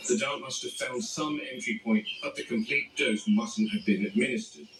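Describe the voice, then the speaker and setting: A man plays a grave, wary animal handler narrating a found-footage documentary. He speaks in measured, formal phrases at a medium pitch. The sound is echoey and distant, with clear tape-like noise. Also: close to an everyday voice. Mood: clinical, wary. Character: male game warden and animal expert, grave, wary, exacting.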